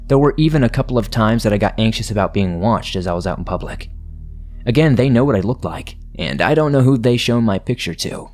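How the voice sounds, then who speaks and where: A low-pitched man reads scary stories aloud in a steady, measured way. Faint music runs quietly under his voice. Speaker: horror story narrator. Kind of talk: scripted narration of submitted stories.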